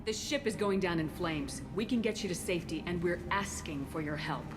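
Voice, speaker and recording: An actress playing a steady, principled military commander in a video game, firm, composed and direct. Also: female voice, lowish voice, a little fast, music in the background, close to an everyday voice. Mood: firm, calm.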